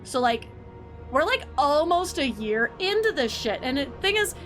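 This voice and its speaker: A woman's voice: high-pitched, very animated, moderate pace, a bit bright, faint music behind. A woman streamer chatting casually, rambling, with lots of fillers and restarts. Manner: rambling, filler-heavy, self-correcting. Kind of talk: casual livestream chat.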